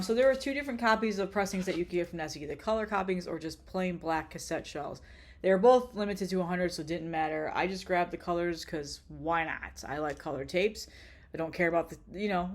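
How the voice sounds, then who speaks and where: A woman with a stuffy, congested voice, chatting casually and bluntly about her tape collection, rambling with lots of ums and swearing. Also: fairly low pitch. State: congested with a cold. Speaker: vlogger showing her cassette collection.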